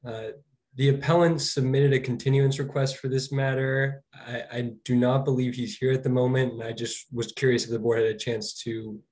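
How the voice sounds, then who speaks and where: A slightly hoarse man from the law department who speaks to a hearing board over a video call in a polite, deferential way. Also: medium pitch, little pitch movement, normal speed, darkish tone, rough recording. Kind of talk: formal hearing remarks.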